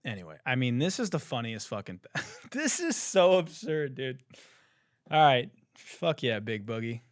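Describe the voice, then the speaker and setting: A young man hosting a podcast, ranting in disbelief with lots of swearing and laughter, a little hoarse. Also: medium pitch, sing-song, a little slow, no echo, clean studio sound. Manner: profane, laughing, incredulous ranting. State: hoarse, says he has lost his voice. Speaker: podcast host. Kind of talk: casual comedic commentary.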